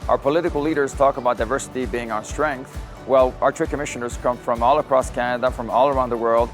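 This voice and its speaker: A man from Chile, a senior trade commissioner, speaking in an earnest, measured interview tone. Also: mid-range voice, steady tone. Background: background music.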